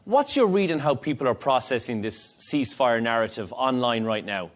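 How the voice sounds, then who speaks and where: A man's voice: medium pitch, lively intonation, normal speed. A man presenting television news and interviewing guests as a studio anchor. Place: broadcast TV studio.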